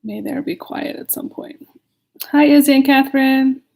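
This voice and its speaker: A woman's voice: high voice, fairly even intonation, normal speed, vocal fry, warm tone. A woman casually reading a children's story at home in a chatty, giggly manner, often breaking off to talk to her listeners.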